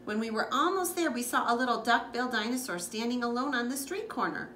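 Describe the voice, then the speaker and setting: A woman's voice: a little high, fast. A woman reading a children's picture book aloud expressively, as a school librarian. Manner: expressive, with asides to listeners. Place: living room, on a couch indoors. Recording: echoey room with background noise.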